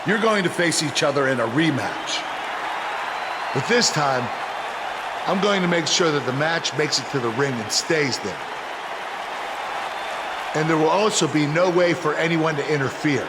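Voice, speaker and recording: An actor playing an authoritative wrestling general manager making a firm, declarative in-ring announcement in a video game. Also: male voice, on the high side, lively intonation, unhurried, long pauses. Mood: firm announcing. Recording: loud noisy arena crowd.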